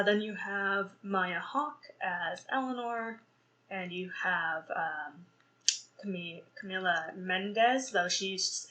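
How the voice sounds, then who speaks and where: A woman's voice: medium pitch, fairly bright tone, low-quality recording. A young woman hosting a solo podcast and reviewing a film, rambling with many fillers and restarts and laughing at her own slips. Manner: laughs at herself. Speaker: podcast host.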